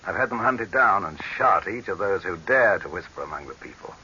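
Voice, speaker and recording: A proud, cynical tyrant in an old radio horror play. His low voice is hard-edged and declamatory, grandly theatrical in the 1930s manner. The narrow-band recording carries its age. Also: animated, fairly quick, sharp tone, slight background noise, full character voice. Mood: grave. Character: tyrannical, proud male dictator, cynical and desperate. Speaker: radio drama actor.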